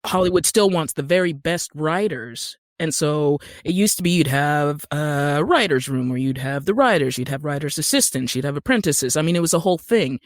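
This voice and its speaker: Male podcast guest, higher register, emphatic and opinionated conversational delivery, dry recording. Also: animated, normal speed, few pauses, dry recording.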